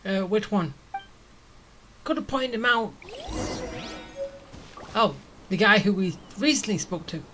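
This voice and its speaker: A woman's voice: medium pitch, expressive, slow, long pauses, background noise. A woman giving casual playthrough commentary as a game streamer, musing to herself as she goes. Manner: musing to self. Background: game music.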